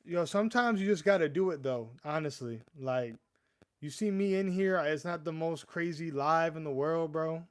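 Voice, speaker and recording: A young man hosts a casual live stream, talking loosely to his viewers in a slightly high voice. He rambles, stammers, and restarts his sentences often, calling everyone "bro.". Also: normal speed, smooth. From US East Coast. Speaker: livestream host.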